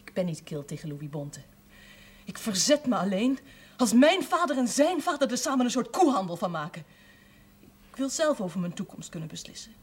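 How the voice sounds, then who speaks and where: An actress playing a defiant, principled grown daughter in a television drama, reproachful, indignant and outspoken. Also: female voice, mid-range voice, big pitch swings, moderate pace, slightly rough. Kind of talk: naturalistic television drama acting.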